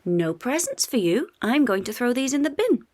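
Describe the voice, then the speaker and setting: An excitable little girl puppy from a children's storybook, acted out by a woman reader. The voice jumps high and swoops around, emphatic and playful, with a stubborn, indignant streak. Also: normal speed. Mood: mock stern.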